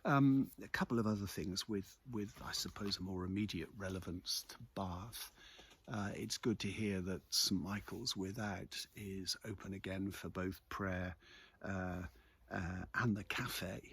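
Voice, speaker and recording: Local vlogger: older male, low register, unhurried and hesitant, reflective casual chat with a husky edge. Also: fairly even intonation, fairly bright tone. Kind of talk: casual monologue about local events. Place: outdoors in a quiet churchyard.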